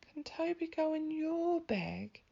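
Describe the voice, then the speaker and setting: A worried little boy from a storybook, acted out by a woman reader, pitched high and pleading. He is earnest and hopeful, with a slightly muffled sound to the recording. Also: sing-song, takes it slowly, gravelly, mellow, heavily acted.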